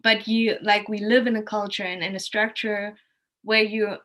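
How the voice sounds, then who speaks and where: A woman's voice: mid-range voice, level tone, moderate pace, a bit bright. A woman from Germany with a German accent, telling her story casually, thinking aloud and often rephrasing as she goes.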